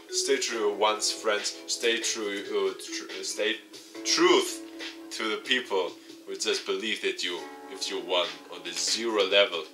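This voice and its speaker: A man, a gaming YouTuber, reads visual novel text aloud with his own asides, stumbling over words at times. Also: lowish voice. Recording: music playing behind the voice.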